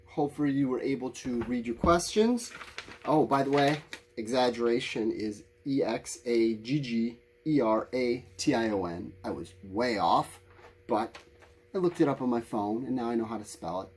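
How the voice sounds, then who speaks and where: A man's voice: medium pitch, normal speed, darkish tone, a little hiss or hum. A man teaching a lesson to children, thinking aloud in simple, encouraging explanations. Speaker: elementary school teacher. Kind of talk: informal lesson, thinking aloud while writing answers.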